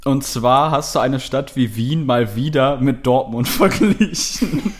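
A man with a mid-pitched voice chats on a video call. He jokes and teases the others. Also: a little slow. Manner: jokey, teasing. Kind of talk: casual chat on a video call.

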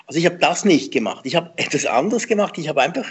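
A man, a memory trainer telling lively, self-deprecating anecdotes in an interview and laughing often. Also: fairly high pitch, fast talker, husky. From Switzerland. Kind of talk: conversational storytelling interview.

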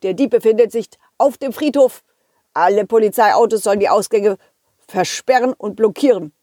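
Woman playing a brisk, commanding policeman, husky voice. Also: mid-range voice, dark tone, dry recording, clean studio sound, natural voice. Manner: repeats short phrases decisively.

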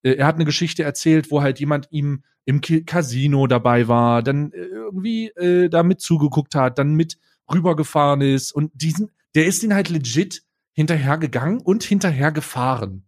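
A man co-hosting a podcast in casual chat, laid-back and halting, with many fillers and restarts. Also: fairly high pitch, a little mellow. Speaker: podcast co-host.

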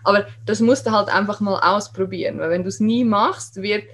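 A woman's voice: medium pitch, normal speed, husky. A woman with a regional German dialect, talking candidly and emphatically about social media in a casual podcast chat. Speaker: guest, Instagram creator.

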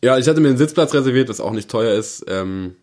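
A man's voice: mid-range voice, lively intonation, fast, darkish tone. A young man co-hosting a podcast in loose, rambling casual chat, telling a story with lots of fillers. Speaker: podcast co-host.

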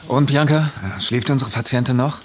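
An actor playing an uneasy man on a trip with friends in a naturalistic audio drama, speaking hesitantly and haltingly. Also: medium pitch, steady tone, a little hiss or hum, natural voice. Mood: concerned.

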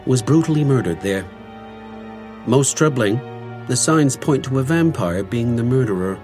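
A man's voice: fairly low pitch, a little mellow. A man reading dramatic scripted narration as the announcer of an audio drama. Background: faint music.

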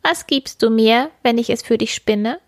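A woman's voice: fairly high pitch, moderate pace, dry recording, studio quality, voice pushed up, lightly acted. A woman voicing a small scheming little man in a fairy tale, coaxing and bargaining.

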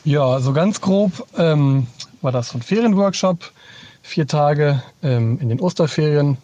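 A man's voice: fairly high pitch, expressive, unhurried, warm tone, dry recording, lo-fi sound. A man giving an informal, explanatory spoken voice message from inside a car.

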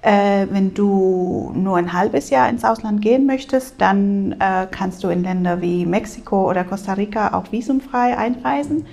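A woman from Spain speaks German, answering as an exchange program advisor. Her voice has a gravelly creak, and she hesitates often, filling pauses with filler sounds and making small slips in grammar. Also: medium pitch, darkish tone. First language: Spanish. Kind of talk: interview answers. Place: language school room.

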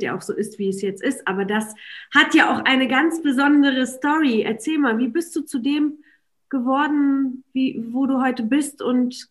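A woman hosting an interview, summing up and praising her guest's work. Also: on the high side. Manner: warmly summarizing and praising the guest. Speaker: podcast host, interviewer. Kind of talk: casual interview talk.

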